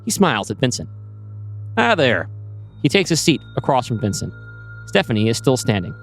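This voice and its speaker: A man's voice: medium pitch, big pitch swings, fast, soft background music. A man narrating a scripted screenplay in the present tense with a dramatic storytelling manner.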